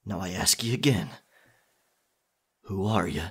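A man playing a captured, proud and defiant pirate captain in an ASMR roleplay, with theatrical old seafaring phrasing and a husky, breathy voice. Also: low-pitched, a little slow, fairly bright tone, exaggerated voice. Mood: sullen, questioning.

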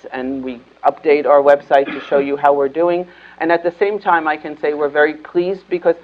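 An older woman speaking at a conference panel as a foundation executive, measured and earnest with some restarts. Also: low-pitched, lo-fi sound. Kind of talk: panel discussion remarks. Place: conference room panel, indoors.

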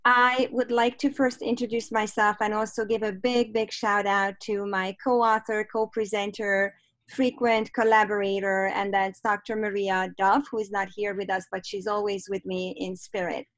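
A woman's voice: mid-range voice, little pitch movement, moderate pace, no room sound. Hungarian-accented woman leading a webinar. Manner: warm, encouraging, reads out chat replies. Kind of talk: online teacher workshop presentation. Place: home office on a video call. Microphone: computer mic on a video call.

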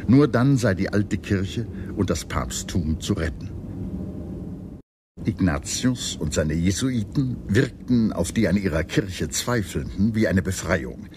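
A man reading scripted historical documentary narration in a measured, articulate storytelling manner. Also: sits low, expressive, noisy background. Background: background music.

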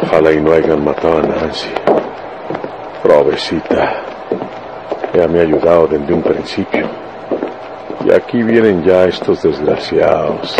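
A defiant Mexican country lawman in a radio serial. The voice is very low and folksy, with a mocking bravado. Heavy noise and traffic sit behind it on an old radio recording. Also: steady tone, measured pace, creaky, long pauses, exaggerated voice. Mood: wary muttering. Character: defiant rural Mexican detective, brave, mocking. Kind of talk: radio drama acting. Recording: noisy old radio drama, street traffic effects.

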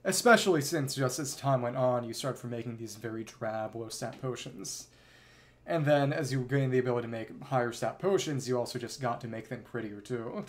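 A man's voice: mid-range voice, brisk. A man streaming a video game, thinking aloud in casual, rambling commentary and reading bits of dialogue. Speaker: livestreamer playing a video game.

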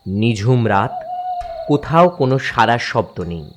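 A man tells a horror tale dramatically at an unhurried pace. His delivery is suspenseful, halting at tense points. Music plays underneath with chirping crickets. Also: mid-range voice, big pitch swings. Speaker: narrator. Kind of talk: dramatic first-person horror story narration.

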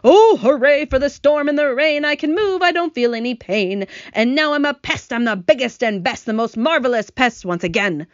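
Woman putting on a high, gleeful boastful centipede. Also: animated, moderate pace, few pauses, no room sound, lo-fi sound. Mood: gleeful singing. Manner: exclaiming, singsong, shouting. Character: boastful, excitable male centipede, gleeful self-styled pest.

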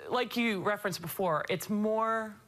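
A woman's voice: medium pitch, measured pace, a bit bright, a little hiss or hum. Enthusiastic woman studio analyst. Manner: enthusiastic, emphatic. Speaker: studio analyst, former player. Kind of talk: post-game studio analysis.

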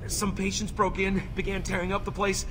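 Man as a shaken, higher-voiced asylum worker. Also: monotone, fairly quick, noisy background, lightly acted. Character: shaken asylum staff man, anxious and explanatory. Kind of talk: video game voice acting. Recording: reverberant hall with game music.

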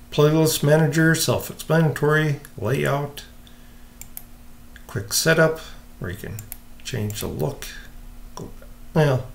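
A man hosting a casual software walkthrough, explaining step by step in an informal, unhurried way. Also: mid-range voice, gravelly. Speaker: software tutorial host.

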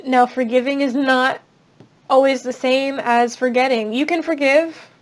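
A young woman giving a casual tarot reading at a table, chatty and reassuring, her voice slightly raspy. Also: sits high, steady tone, measured pace. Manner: chatty, reassuring, many fillers. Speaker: tarot reader. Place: indoors at a table.

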